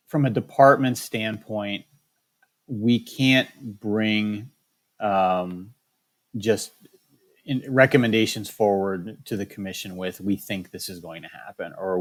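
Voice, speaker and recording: A man speaking as a state wildlife biologist in a candid interview, choosing his words carefully with frequent restarts. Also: lowish voice. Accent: Michigan.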